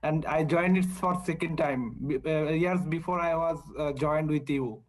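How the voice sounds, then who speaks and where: Man from Bangladesh, fairly high voice, halting, searches for words, video-call audio. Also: rough recording. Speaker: workshop participant.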